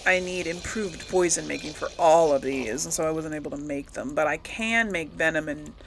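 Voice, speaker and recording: A woman's voice: deep voice, moderate pace, creaky, a bit bright, slight background noise. A woman giving casual, rambling commentary as she plays a game, often correcting herself and lightly amused. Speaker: gaming host.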